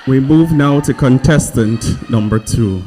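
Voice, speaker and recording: A Jamaican man announcing contestants in loud, brisk calls. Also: medium pitch, soft background music. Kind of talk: event announcing.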